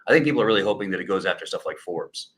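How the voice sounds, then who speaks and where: A man answering as a guest in a webinar, relaxed and conversational. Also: low-pitched, rapid-fire, husky. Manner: relaxed, conversational with "you know". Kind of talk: casual expert answers.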